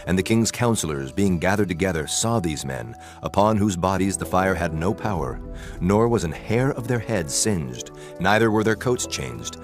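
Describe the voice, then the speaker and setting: Very deep male scripture narrator over music. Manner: solemn, measured storytelling. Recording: music bed under the voice.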